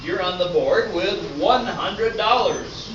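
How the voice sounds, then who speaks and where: A man's voice: high voice, slow. A man playing an upbeat, patient game show host in an amateur comedy radio play, with a showy announcer delivery. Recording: roomy, distant, noisy dull stage recording.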